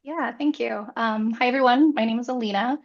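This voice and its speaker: A woman with a scratchy voice, getting over a cold, introducing herself on a video call. Her audio is dull and muffled, and she hesitates often with soft ums. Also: a little high, fairly quick. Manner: frequent "um" hesitations. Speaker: graphic designer guest.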